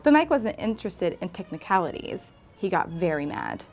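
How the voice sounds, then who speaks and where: A woman's voice: on the low side, big pitch swings, moderate pace, a little mellow. A woman reading a scripted comedic story as a narrator. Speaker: guest storyteller.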